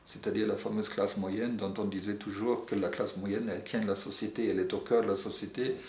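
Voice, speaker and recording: A middle-aged man answering interview questions earnestly, often pausing on euh and restarting his sentences. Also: fairly low pitch, little pitch movement, a little mellow. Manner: earnest, searching for words with frequent euh and restarts. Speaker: secretary general of a European civil society network. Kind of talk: interview answers on European social policy. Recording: echoey room with faint background noise.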